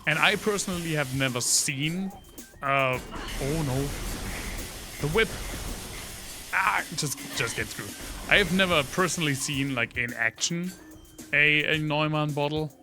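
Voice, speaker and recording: A man's voice: on the high side, unhurried, pauses often, over music. A man from Germany with a German accent, chatting casually on a livestream while he plays a game, rambling through trivia on a studio condenser mic. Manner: rambling, full of trivia, talks to chat between gameplay reactions. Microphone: studio condenser microphone.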